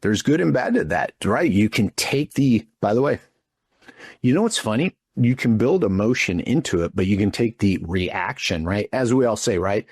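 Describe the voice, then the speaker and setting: A man in his fifties with a fairly low, husky voice, close and dry. He talks in a blunt, informal way as a guest giving opinions, often tagging his points with a questioning check. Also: expressive, moderate pace, darkish tone, no room sound. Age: 50s. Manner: blunt, informal, punctuates points with "right?" and "dude". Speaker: interview guest, front desk trainer. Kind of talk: casual, animated opinion talk.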